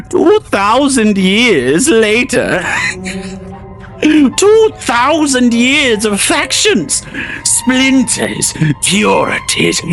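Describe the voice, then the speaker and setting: Ancient male tempter spirit voiced by a man, slow and savoring, swooping from high to whispered lows, faint music behind, audio drama. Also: a bit bright, heavily acted. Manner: languid, savoring, mocking.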